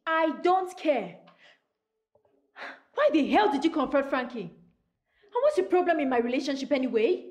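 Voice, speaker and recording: An actress playing a furious high-voiced younger sister. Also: female voice, animated, moderate pace, long pauses, roomy sound. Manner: indignant, confronting. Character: furious younger sister, defending her boyfriend.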